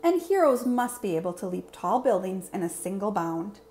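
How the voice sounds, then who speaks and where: A woman's voice: medium pitch, big pitch swings, normal speed, a little mellow, lightly acted. A woman voicing a boastful, earnest monster superhero in a storybook, with formal, over-enunciated phrasing. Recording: clear background room noise.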